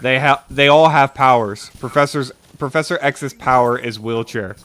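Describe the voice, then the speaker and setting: A man's voice: medium pitch, moderate pace, very noisy. A young man on a livestream at home, reading absurd comedy scripts aloud. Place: dim room at home.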